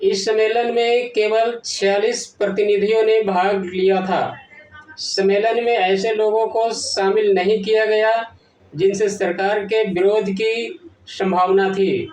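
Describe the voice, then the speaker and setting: A man presenting a scripted educational lesson, reading formally in short, halting phrases. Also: high voice, level tone, darkish tone. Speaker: presenter of an educational history channel. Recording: echoey room, distant from the mic.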